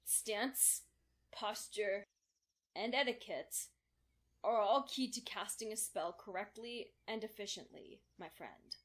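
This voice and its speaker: A woman playing a calm, exacting female unicorn mentor in a fan audio drama, speaking in a formal, instructive manner. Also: mid-range voice, takes it slowly, natural voice.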